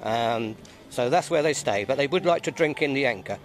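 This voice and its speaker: A man talks at an even middle pitch, stumbling and repeating words as he explains local history. He is recorded outdoors at an event. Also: moderate pace, background noise. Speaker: interviewee, society member. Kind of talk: casual outdoor interview.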